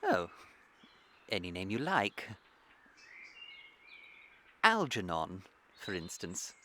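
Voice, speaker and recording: A British man playing an impetuous, charming young gentleman in a comic period radio play, ardent and theatrical. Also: low-pitched, wildly swooping pitch, takes it slowly, a little hiss or hum, full character voice. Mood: ardent, wounded.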